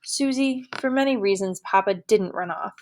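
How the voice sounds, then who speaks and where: A woman voicing a young girl who speaks gently and firmly. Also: medium pitch, moderate pace, lightly acted. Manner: gentle, firm. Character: calm, firm young freed girl.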